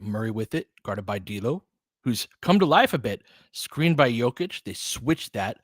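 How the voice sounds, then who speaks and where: An American man with a mid-pitched voice hosts a casual basketball stream. He talks conversationally and analytically, like someone explaining the game to a chat while calling it. The recording is dry, with very little room sound. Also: sing-song, unhurried, husky, no room sound. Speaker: basketball commentator and host of a live stream. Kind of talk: casual live play-by-play commentary with chat.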